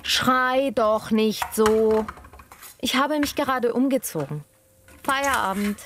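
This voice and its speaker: A woman's voice: a little high, slow, fairly bright tone, slight background noise. Woman playing a stubborn, unbothered restaurant worker. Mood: defiant. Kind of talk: scripted language-lesson dialogue.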